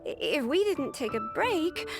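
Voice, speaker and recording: A woman's voice: high voice, sing-song, slow, full character voice. An actress voicing an overworked young woman clerk in a video game, eager and slightly flustered. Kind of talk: game voice acting. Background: game soundtrack music.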